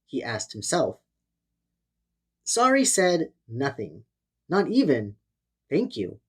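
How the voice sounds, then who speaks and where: A woman's voice: low voice, huge pitch swings, slow, a bit bright, husky. A woman reading a children's storybook aloud in a dramatic, theatrical way. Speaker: storybook narrator. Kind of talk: expressive children's read-aloud narration.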